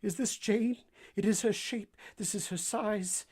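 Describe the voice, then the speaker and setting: A woman playing a blind, passionate gentleman in a radio drama, husky and imploring in a dramatic manner. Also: medium pitch, measured pace. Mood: overwhelmed longing.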